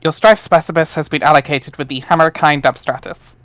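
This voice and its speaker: A man's voice: fairly high pitch, steady tone, fairly quick, fairly bright tone, no room sound. A man narrating a webcomic dramatic reading in a wry, informal way, with casual asides.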